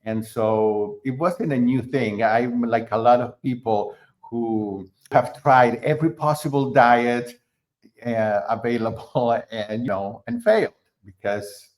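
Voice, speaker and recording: A middle-aged man from Argentina speaks as an interview guest at a medium pitch. He talks in a halting way, full of fillers, restarts and pauses. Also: darkish tone. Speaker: guest, concert pianist. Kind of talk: conversational interview.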